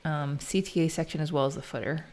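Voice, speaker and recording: A woman's voice: low voice, steady tone, quick pace, darkish tone. An American woman live streaming as she codes, chatting informally and thinking aloud in a casual way. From United States. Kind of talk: casual live stream chat while coding.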